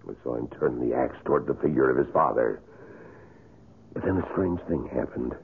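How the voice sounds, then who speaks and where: Through a tinny old radio broadcast comes a somber wax museum employee. His extremely deep, gravelly voice recounts grim things in a deliberate, grave way, in the style of seventies radio drama. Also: heavily acted. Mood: tense recounting. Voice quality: gravelly, husky. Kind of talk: 1970s radio drama acting.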